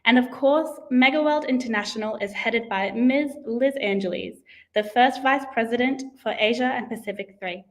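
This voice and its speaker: A woman's voice: fairly high pitch, moderate pace. A young woman hosting an online pageant livestream, with an upbeat, formal presenter manner. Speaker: host. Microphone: video call.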